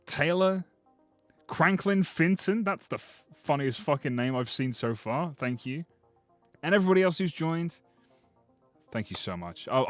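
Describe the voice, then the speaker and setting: Guy, livestream chat, slightly hoarse, joking and swearing, middle pitch, faint music behind. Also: no echo. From Britain. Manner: joking, rambling, swears freely. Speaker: streamer. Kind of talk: casual livestream commentary.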